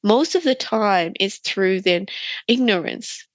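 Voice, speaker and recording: A woman's voice: mid-range voice, takes it slowly, dry recording. A young adult woman from Myanmar with an Australian accent, telling stories as a guest in a casual interview. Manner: animated storytelling, laughing often.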